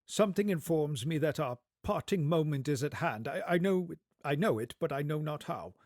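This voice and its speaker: A frightened old miser, played by a male reader in a dramatised storybook. He pleads earnestly and haltingly, his raised voice trembling with repentance, recorded close and dry. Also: on the high side, expressive, a little mellow, no room sound, voice pushed up. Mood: anxious.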